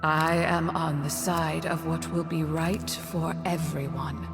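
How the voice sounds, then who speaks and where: An actress playing a solemn, all-knowing mystic mentor in a video game, measured and cryptic in manner. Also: female voice, low-pitched, flat delivery, creaky, loud music behind the voice, exaggerated voice. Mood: foreboding. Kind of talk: video game cutscene acting.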